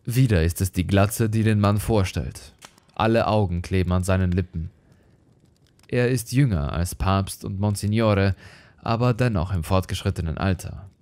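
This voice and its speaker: A man narrating a scripted horror story in a grave, measured, theatrical manner. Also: sits low, a little mellow, no room sound.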